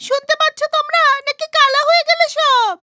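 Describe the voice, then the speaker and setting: A woman's voice: very high voice, fairly even intonation, a little fast, bright, forceful tone, slight background noise, voice pushed up. A woman voicing an indignant village woman in a cartoon, scolding and shrieking. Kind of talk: Bengali cartoon voice acting.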